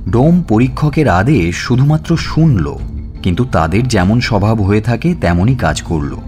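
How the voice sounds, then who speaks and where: A man narrating a scripted suspense story in a measured, expressive, dramatic way. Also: lowish voice. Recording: music bed under narration.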